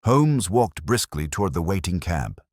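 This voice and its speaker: A man narrating a scripted mystery story as an audiobook, in a measured, literary and solemn manner. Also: low voice, sing-song, no room sound, studio quality.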